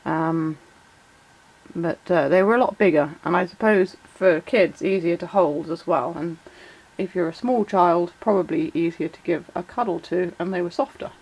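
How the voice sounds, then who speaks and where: A woman with a croaky voice and a sore throat from a cold, chatting casually as she explains toy features in a vlog. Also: on the low side, moderate pace, poor audio. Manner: chatty, many fillers, reads out shout-outs. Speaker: toy collector vlogger.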